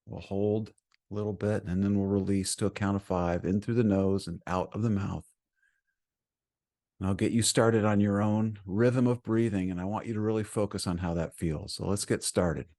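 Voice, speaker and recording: Male meditation guide: lower-pitched voice, soothing and gentle delivery, unhurried phrasing with plenty of pauses. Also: fairly even intonation. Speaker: meditation teacher.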